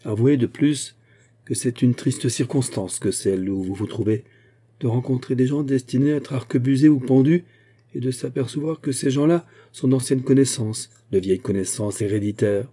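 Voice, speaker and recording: A shrewd bishop who was once a musketeer, acted out by a male narrator. He speaks solemnly and with measured authority. The voice is very dark and husky, as in a storybook adventure. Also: medium pitch, lightly acted. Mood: probing, courteous.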